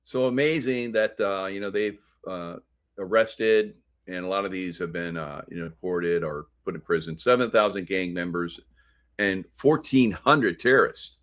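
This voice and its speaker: Low-pitched American man, halting political commentary. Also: a bit bright. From United States. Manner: halting, rambling delivery. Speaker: host of a political commentary show.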